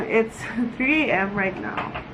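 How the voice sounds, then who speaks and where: A woman's voice: on the high side, takes it slowly, very noisy. A woman talking casually and giggly to viewers in a rambling, conversational video diary, recorded indoors at home. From Philippines. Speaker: book vlogger.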